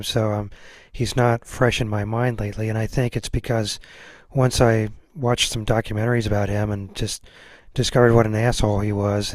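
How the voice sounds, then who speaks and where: Male host, fairly low pitch, dry sound, relaxed conversational delivery, unassuming. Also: dry recording. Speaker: podcast host. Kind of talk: casual chat.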